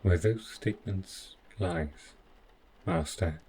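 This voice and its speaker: Man playing a very deep, slow robot butler. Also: dark tone, breathy edge, full character voice. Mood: clinical, insistent. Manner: formal, measured, precise, halting delivery. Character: devoted, obsessive robot butler, male, calmly possessive. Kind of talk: scripted roleplay audio drama monologue.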